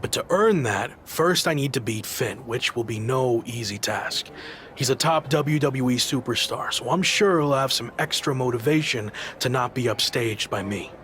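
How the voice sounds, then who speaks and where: An actor playing an earnest, principled underdog wrestler, firm and serious, in a video game cutscene. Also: male voice, mid-range voice, lively intonation, moderate pace, fairly bright tone, slight background noise, natural voice. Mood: determined.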